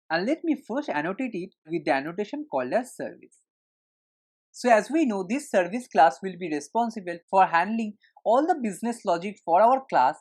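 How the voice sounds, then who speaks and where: A woman's voice: medium pitch, a little mellow. A woman narrating a screencast tutorial as an instructor, explaining things step by step in an instructional way.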